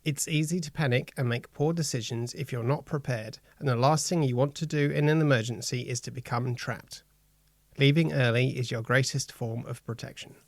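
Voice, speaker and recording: Gravelly Australian man reading a statement. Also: mid-range voice, normal speed, dry recording. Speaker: reader of quoted statements. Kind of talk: scripted reading of a public safety statement.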